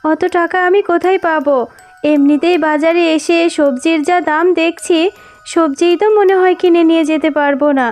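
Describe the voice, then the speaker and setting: A woman voicing a poor, gentle mother fox in a cartoon, speaking in an earnest, motherly way. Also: very high-pitched, steady tone, moderate pace, soft background music. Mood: worried. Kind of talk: cartoon voice acting.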